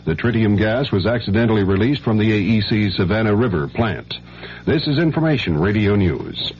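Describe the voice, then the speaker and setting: A man's voice: fairly low pitch, lively intonation, brisk. An American man anchoring a scripted hourly radio news bulletin. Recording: dull, faintly noisy 1970s radio broadcast.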